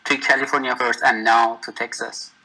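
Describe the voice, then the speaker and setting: A man from Iran, a software engineer talking casually on a video call, friendly and pausing as he lists things. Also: medium pitch, little pitch movement, low-quality recording. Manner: friendly, lists tech with short pauses. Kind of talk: casual networking chat.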